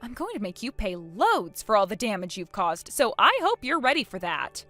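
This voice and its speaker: A woman's voice: medium pitch, very animated, normal speed, sharp tone, no echo, faint music behind. A woman playing a shameless, demanding villainess in a melodramatic audio story, pleading in a pushy whine. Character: shameless, desperate, demanding villainess begging for a kidney.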